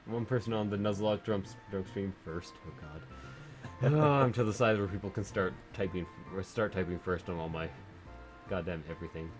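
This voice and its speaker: A man's voice: deep voice, normal speed, faint music behind. A Canadian man chatting casually and joking while streaming a video game, swearing now and then. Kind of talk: casual chat while gaming.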